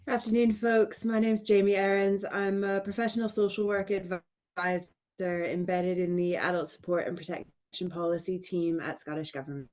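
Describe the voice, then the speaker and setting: A woman's voice: on the low side, flat delivery, moderate pace, a little mellow. A woman social work advisor introducing herself briefly and matter-of-factly on a video call. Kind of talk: brief self-introduction on a webinar.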